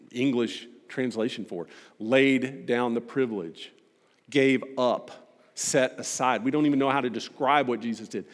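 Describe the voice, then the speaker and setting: A man delivering a sermon to a congregation as a preacher, emphatic and rhetorical, building points through repetition and pointed pauses. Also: medium pitch. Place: large reverberant hall. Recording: long hall reverb.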